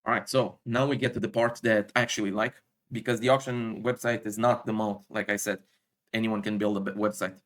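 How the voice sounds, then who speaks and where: A man from Romania, not an English speaker, giving an unscripted stock explainer with frequent fillers, self-corrections and throat clearing. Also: lowish voice, level tone, a little fast. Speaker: stock analysis YouTuber. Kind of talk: casual explainer monologue.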